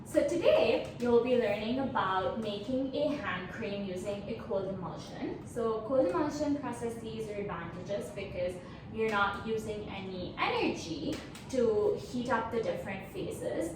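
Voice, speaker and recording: A woman's voice: a little high, animated, measured pace. A young woman from Pakistan with a Pakistani accent, co-hosting and explaining a science lesson in an earnest way, with frequent ums. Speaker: co-host, chemistry graduate student. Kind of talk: casual educational chat. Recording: echoey room, mic far off, noisy.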